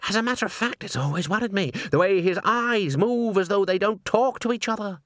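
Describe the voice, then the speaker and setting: Man acting a gossipy, high-pitched chorus member. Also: brisk, fairly bright tone, dry recording, studio quality. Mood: doubtful.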